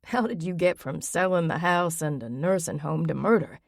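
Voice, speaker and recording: A woman voicing a mild, dry-humored middle-aged father in an audiobook, speaking calmly and low. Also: moderate pace, creaky, dry recording. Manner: calm, low, understated.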